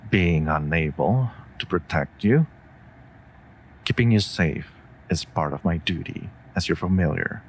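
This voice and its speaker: A man playing a devoted, worried wolf familiar in a comforting audio roleplay, speaking tenderly and formally to a mage. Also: sits low. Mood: earnest, concerned. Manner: tender, earnest, formal phrasing.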